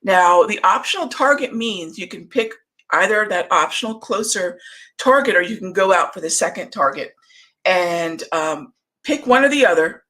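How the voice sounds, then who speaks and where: A middle-aged woman hosting a live market show, chatting casually and reading out viewer questions in a voice that is going hoarse. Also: fairly low pitch, expressive, normal speed, fairly bright tone. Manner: conversational, reads viewer comments aloud, many fillers. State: losing her voice, throat clearing. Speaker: trading show host.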